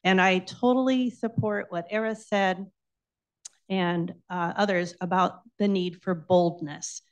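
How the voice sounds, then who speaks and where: A woman's voice: on the low side, fairly even intonation, unhurried. A woman speaking as a public commenter at a city meeting. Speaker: public commenter, bicycle coalition president. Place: indoor public meeting room.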